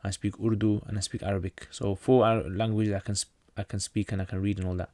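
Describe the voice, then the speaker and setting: Low-voiced man from North Pakistan. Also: flat delivery, fast talker, dark tone, dry recording. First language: Pashto. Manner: reads out questions then answers plainly, with frequent restarts. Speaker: channel host answering viewer questions. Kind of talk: casual livestream Q&A.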